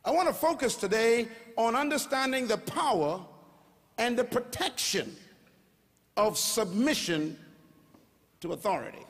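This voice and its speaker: An older man with a Bahamian accent preaching a sermon on stage into a handheld mic, calling on the crowd to answer back and rising to shouts. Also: very high-pitched, lively intonation, measured pace, long pauses, breathy edge. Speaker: pastor. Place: large auditorium stage. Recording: long hall reverb.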